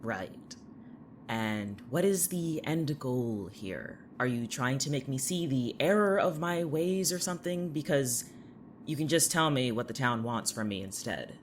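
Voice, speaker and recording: A man's voice: medium pitch, animated, a little slow, a little hiss or hum, natural voice. A young man acting in an audio drama as a sarcastic, irritable young man trapped in a horror town, dry and defiant. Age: young adult.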